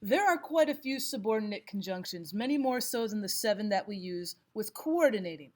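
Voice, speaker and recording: A woman teacher giving scripted instructional narration, explaining and reading examples out deliberately. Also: medium pitch, expressive. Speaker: language arts teacher.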